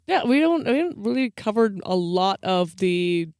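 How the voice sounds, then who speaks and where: A woman's voice: medium pitch, sing-song, moderate pace, darkish tone, clear, resonant tone, dry recording. Woman podcaster in a dry, close recording. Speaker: podcast co-host. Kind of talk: casual chat explaining folklore.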